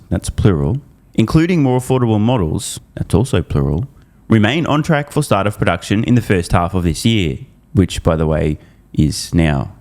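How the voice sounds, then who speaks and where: A man's voice: deep voice, darkish tone. A man delivering a scripted recap commentary as a channel host, emphatic and opinionated, with casual, irreverent asides. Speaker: host of an investor news channel.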